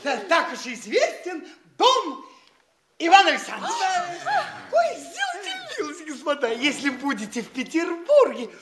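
A man's voice: extremely high pitch, huge pitch swings, normal speed, crowd noise, heavily acted. An actor playing a boastful, foppish young man in a theatrical stage comedy, gushing and stammering over his own boasts. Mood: excited bragging.

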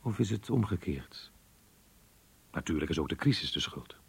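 A man's voice: low-pitched, expressive, moderate pace, vocal fry, a little hiss or hum. An actor playing a disillusioned married schoolteacher confiding in his diary in a radio drama, introspective and weary. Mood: brooding, regretful.

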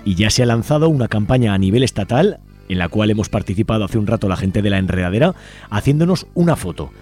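A man's voice: fairly low pitch, animated, quick pace, music in the background. A man with an accent from Spain hosting community radio, giving informal announcements and addressing listeners directly in a conversational, inviting way. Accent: Spanish from Spain.

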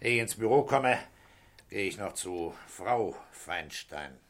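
A man's voice: sits low, lively intonation, takes it slowly, fairly bright tone, full character voice. A man acting a stern, terse middle-aged police commissioner in a 1960s German radio play, giving orders and asking curt questions. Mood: brisk, commanding.

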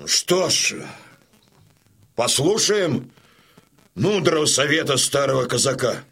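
Casting: plain-spoken Cossack veteran, raspy, very lively intonation, commanding, radio play. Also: male voice, medium pitch, slow, heavily acted. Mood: approving, rousing. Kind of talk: theatrical audio drama.

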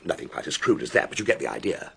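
An actor playing a boyish, impulsive upper-class English gentleman in a radio drama, eager and charming. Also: low-pitched, sing-song, very fast, creaky, slightly rough, heavily acted. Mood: eager, playful.

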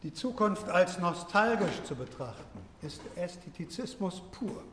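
A man playing a dismissive university lecturer in a radio drama, talking in a measured, pedantic seminar manner. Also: sits high, very animated, fairly bright tone, exaggerated voice. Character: dry, dismissive wine-drinking male university lecturer. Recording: echoey seminar room, reverberant, faint noise.

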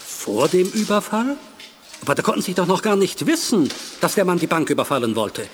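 An actor playing a courteous, formal bank director full of wonder in a German audio drama. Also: male voice, high-pitched, big pitch swings, fairly quick, slight background noise. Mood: intrigued, puzzled.

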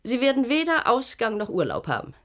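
An actress in a German radio play voicing a stern, sardonic matron of a secretive clinic, brusque, probing and condescending. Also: female voice, on the high side, wildly swooping pitch, brisk, fairly bright tone.

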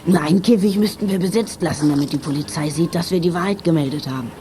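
Woman as lowish-voiced plucky child detective. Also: quick pace, heavy background noise, lightly acted. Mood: practical. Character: plucky young child detective, eager and practical. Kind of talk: German children's radio play acting.

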